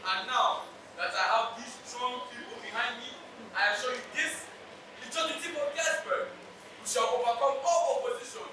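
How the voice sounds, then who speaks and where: A performer with a Nigerian accent playing a solemn, self-assured male royal leader in a stage play, declaiming deliberately. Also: medium pitch, recorded from a distance, lightly acted. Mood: triumphant. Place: stage hall, distant mic. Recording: roomy, noisy stage recording from afar.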